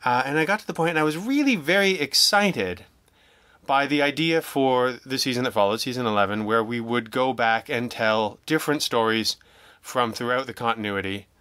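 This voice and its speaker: A man talking casually as an interview guest, telling stories in an animated, jokey, self-deprecating way and acting out remarks he quotes. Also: medium pitch, moderate pace, no room sound. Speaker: guest, audio drama writer and producer.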